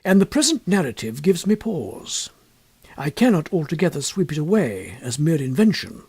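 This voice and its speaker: Male audiobook narrator for ghost stories: husky, genteel and reflective, very varied intonation, recorded close and dry. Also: a little high, very animated, moderate pace, darkish tone, no room sound. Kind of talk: literary ghost story narration.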